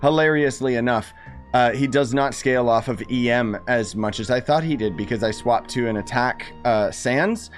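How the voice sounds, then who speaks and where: A man's voice: mid-range voice, normal speed. A man giving casual live-stream game commentary, blunt and griping as he chats to viewers. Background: video game music and dialogue.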